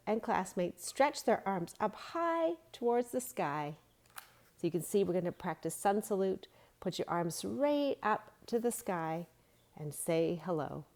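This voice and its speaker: A woman's voice: fairly low pitch, a little mellow. A woman narrating a read-along storybook and giving yoga instruction in simple phrasing for young children.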